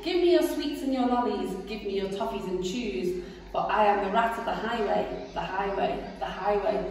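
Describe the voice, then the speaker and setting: A woman's voice: mid-range voice, moderate pace, a little mellow, close to an everyday voice. A woman voicing a greedy, bullying male rat robber who bellows his demands. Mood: bellowing. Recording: echoey room from far off.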